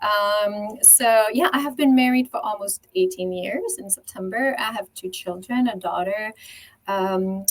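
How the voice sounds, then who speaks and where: A woman in her forties from Belgium with a French-speaking Belgian accent, a podcast guest chatting eagerly about her life, often pausing on fillers and restarting her sentences. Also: mid-range voice, rough recording. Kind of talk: casual interview chat.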